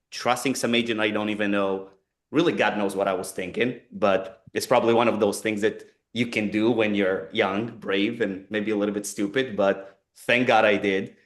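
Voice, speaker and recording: A man in his forties with an Israeli accent, talking earnestly in a webinar and often repeating and restarting phrases. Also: lowish voice. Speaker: company co-founder presenting a webinar. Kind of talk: conversational webinar talk.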